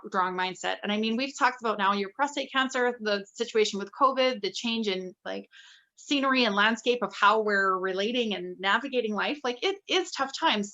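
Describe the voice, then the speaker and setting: A woman's voice: mid-range voice, vocal fry, fairly bright tone. A woman in her forties interviewing a guest in a conversational way, on slightly lo-fi audio. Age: 40s. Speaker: interviewer, summit host.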